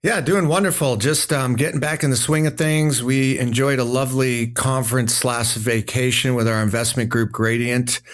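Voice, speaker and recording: A man's voice: medium pitch, fairly even intonation, normal speed, hardly any pauses. An upbeat man co-hosting a finance podcast, chatty and full of little fillers as he talks. His voice sits in the middle range. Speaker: financial advisor co-host. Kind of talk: podcast conversation.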